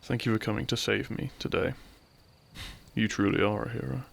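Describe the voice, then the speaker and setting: A man playing a runaway royal turned outlaw, a weary, tender and gently pleading lover in a romantic audio roleplay, with soft, sighing delivery. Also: medium pitch, steady tone, a little fast, gravelly, slightly rough. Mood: relieved, tender.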